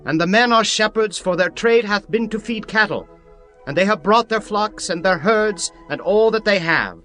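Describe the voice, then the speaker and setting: A man's voice: high voice, moderate pace, bright, forceful tone. A man voicing a powerful governor who speaks firmly and instructively. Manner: firm, instructive. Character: powerful governor, authoritative younger brother. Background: faint music.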